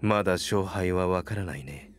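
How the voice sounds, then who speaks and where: An actor playing a serene, philosophical warlord fascinated by beautiful death, measured and detached, in an anime audio drama. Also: male voice, low voice, faint music behind, lightly acted. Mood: calm, musing. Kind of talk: Japanese anime voice drama acting.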